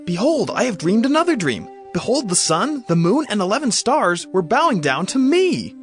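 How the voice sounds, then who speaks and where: A man's voice: high-pitched, very animated, moderate pace, faint music behind. An actor playing an earnest, youthful male dreamer in a Bible audio drama, speaking in a declamatory way. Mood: excited wonder. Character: earnest youthful dreamer, favoured younger son.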